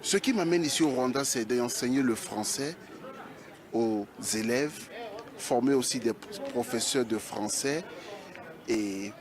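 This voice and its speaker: Slow male speaker, mid pitch, earnest halting phrases, interview, busy voices behind. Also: a crowd in the background. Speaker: volunteer French teacher, interviewee. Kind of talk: spontaneous interview.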